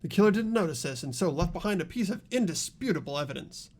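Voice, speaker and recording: A man voicing an earnest high school boy in a mystery game, explaining a case in a deliberate, dramatic way. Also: expressive, darkish tone. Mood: grave, determined. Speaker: amateur voice actor in a game playthrough.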